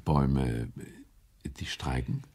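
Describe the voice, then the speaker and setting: An actor playing a formal, sardonic, authoritative state premier in a radio drama. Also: male voice, very deep voice, slow pace, darkish tone, exaggerated voice. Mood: dry irony. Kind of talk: German radio drama acting.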